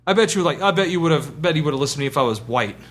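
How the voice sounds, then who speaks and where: A man co-hosting a podcast, telling a casual anecdote and acting out the dialogue in an animated way. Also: on the high side, very fast. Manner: animated, acts out quoted dialogue. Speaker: podcast co-host.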